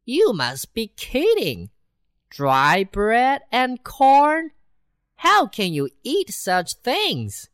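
A woman voicing a boastful, worldly city mouse in a children's storybook reading, with a slow, bouncy delivery. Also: huge pitch swings, fairly bright tone, dry recording, clean studio sound, full character voice. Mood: scornful. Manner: slow, bouncy storybook voice with big pitch swings.

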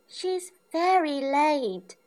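A bright, squeaky little piglet girl from a storybook, eager and full of wonder, as a woman reads her aloud. She talks slowly, with a voice that climbs and dips. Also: animated, exaggerated voice. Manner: high, eager, childlike. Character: small excitable girl piglet.

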